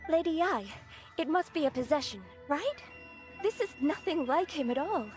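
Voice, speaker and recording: Young female disciple: high voice, loyal, anxious, insistent, game dialogue over faint music. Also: lively intonation, normal speed. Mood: worried. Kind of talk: video game character acting.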